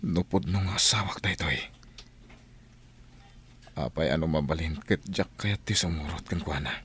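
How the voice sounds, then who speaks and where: A man's voice: extremely low pitch, moderate pace, fairly bright tone, slight background noise. A man with an Ilocano Filipino accent playing a bewildered, injured amnesiac in a radio drama. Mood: confused.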